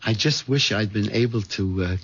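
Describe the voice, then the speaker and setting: An actor playing a timid, hen-pecked middle-aged husband, hesitant and stammering, in a 1970s radio drama. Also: male voice, lowish voice, moderate pace, background noise, natural voice. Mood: resigned. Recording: dull 1970s radio recording, music underneath.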